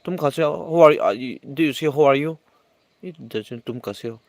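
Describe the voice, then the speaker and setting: A man hosting a casual livestream, reading viewer comments aloud and answering in halting English full of restarts. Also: a little high, expressive, no echo. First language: not English.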